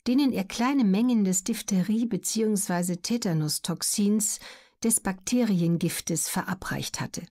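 A woman's voice: mid-range voice, warm tone. Woman reading an educational audiobook. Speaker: audiobook narrator. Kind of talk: scripted educational documentary narration.

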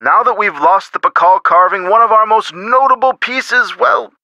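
An actor playing a genial, avuncular middle-aged lawyer in a video game, talking in a chummy, theatrical way with folksy turns of phrase. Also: male voice, high-pitched, moderate pace, pushed and bright, dry recording, exaggerated voice. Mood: stern.

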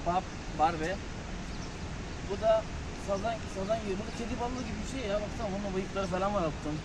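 A young man chatting excitedly to his viewers in a casual travel vlog, filmed on a phone outdoors by a river. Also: high-pitched. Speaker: vlogger. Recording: loud outdoor noise.

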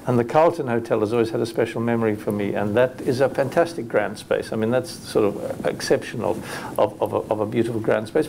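A man's voice: medium pitch, background noise. A man speaking as a panelist at a hotel industry conference, thoughtful and hesitant with many restarts.

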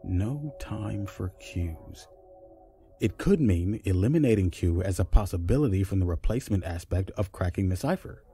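A man's voice: deep voice, expressive, moderate pace, mellow, soft background music. An actor playing a patient, puzzle-savvy man who explains step by step in naturalistic audio drama dialogue. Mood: thoughtful.

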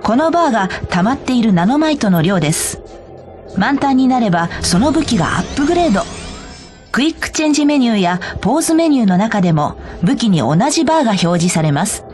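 A woman's voice: on the high side, sing-song, quick pace, a little mellow, faint music behind. A woman voicing a polite, cheerful corporate guide, reading scripted tutorial narration for a game. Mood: polite, bright. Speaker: tutorial announcer.

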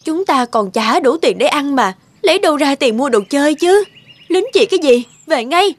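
A woman's voice: high-pitched, expressive, moderate pace. A woman playing a struggling young mother and street peddler in a cartoon, exasperated and dramatic, weary yet defiant. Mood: scolding. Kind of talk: dubbed cartoon acting.

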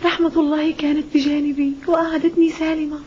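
An actress playing a devoted, earnest young daughter who pleads tenderly in a cartoon drama dub. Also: female voice, high-pitched, level tone, quick pace, a little mellow, slight background noise, natural voice. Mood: earnest pleading. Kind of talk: animated drama dub acting. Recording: dull lo-fi cartoon soundtrack.

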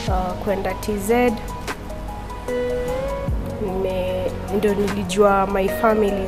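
Young woman, halting testimony, lapel mic, reverberant studio. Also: mid-range voice. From Tanzania. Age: young adult. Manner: halting, with frequent restarts. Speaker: interview guest. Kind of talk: personal testimony, mixing Swahili and English. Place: indoor studio.

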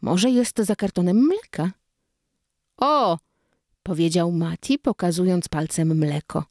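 A woman's voice: on the low side, normal speed, warm tone, dry recording, studio quality. A woman narrating a children's story expressively, acting out the dialogue.

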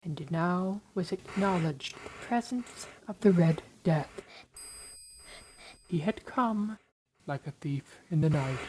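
A woman giving a solemn, theatrical, drawn-out dramatic reading of literary prose. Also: fairly low pitch, animated, darkish tone, a little hiss or hum, rough recording. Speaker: story reader.

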